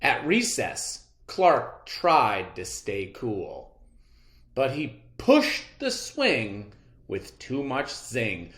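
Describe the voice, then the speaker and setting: A read-aloud storyteller narrating a children's book with dramatic, expressive emphasis. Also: mid-range voice, very slow, roomy sound.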